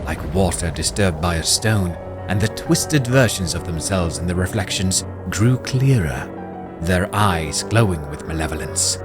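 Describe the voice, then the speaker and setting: Male narrator, lowish voice, dramatic, lively pitch, music bed. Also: unhurried. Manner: dramatic, expressive. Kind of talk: scripted story narration. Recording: music playing under voice.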